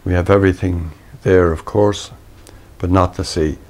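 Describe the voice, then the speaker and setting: An Irish man reading his own poetry in a measured, deliberate way, pausing between short phrases. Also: low voice, steady tone, a little mellow. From Ireland. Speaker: poet reading own work.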